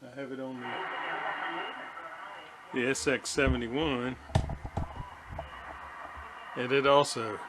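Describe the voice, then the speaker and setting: A man narrating an unscripted workbench vlog as a hobbyist restorer, speaking haltingly in short, hesitant phrases. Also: mid-range voice, flat delivery. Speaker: hobbyist radio restorer presenting own video. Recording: clearly audible background noise.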